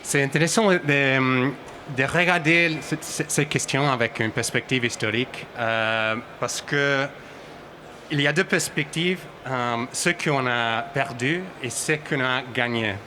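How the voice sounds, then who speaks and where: A man from Australia speaking with an Australian English accent as a researcher on a conference panel, halting and restarting often as he searches for words. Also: mid-range voice, bright, forceful tone, slight background noise. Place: conference hall.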